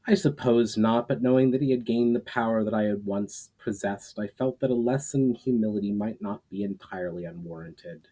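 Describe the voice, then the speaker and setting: A man voicing a proud, repentant old warrior spirit in a storybook, speaking in a solemn, formal, measured way. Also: lowish voice, mellow, natural voice, rough recording. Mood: proud, reflective. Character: Kiral, ancient male warrior spirit, proud, repentant, weary.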